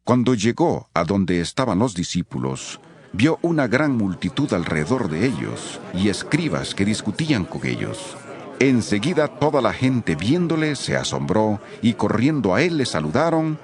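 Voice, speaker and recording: A man's voice: fairly low pitch, sing-song, normal speed. A man narrating scripture from a script, as the narrator of a dramatized reading.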